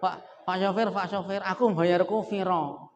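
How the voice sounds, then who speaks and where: A man's voice: high voice, fairly even intonation, unhurried, lo-fi sound, pitched above the performer's own voice. A man doing a grumbling nasal Javanese passenger. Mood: pleading. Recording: echoey hall, faint noise.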